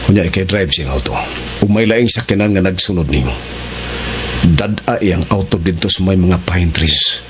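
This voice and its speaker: An actor playing a commanding, self-assured crime gang boss in a radio drama. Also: male voice, deep voice, moderate pace, lightly acted. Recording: loud dramatic music bed.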